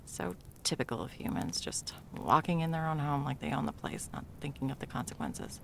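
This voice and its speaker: A woman's voice: sits low, sing-song, a little fast, creaky, slight background noise, heavily acted. A woman playing a frazzled, sarcastic, foul-mouthed Christmas delivery elf in a whimsical comedic ASMR roleplay, muttering and often dropping to whispers. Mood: exasperated.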